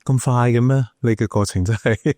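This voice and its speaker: Guy podcast host, mellow, dry close recording, chimes in with short comments. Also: medium pitch, fairly even intonation, normal speed, no room sound. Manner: attentive, short backchannels and clarifications. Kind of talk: casual interview chat.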